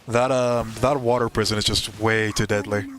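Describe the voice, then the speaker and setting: A man's voice: on the low side, steady tone, moderate pace, fairly bright tone. A man giving casual gameplay commentary as a gaming host, thinking aloud and correcting himself as he goes.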